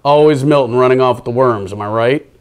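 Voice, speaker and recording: A man's voice: medium pitch, fast talker, clear, resonant tone. A man hosting children's storytime, reading a picture book aloud with playful, theatrical delivery and casual asides.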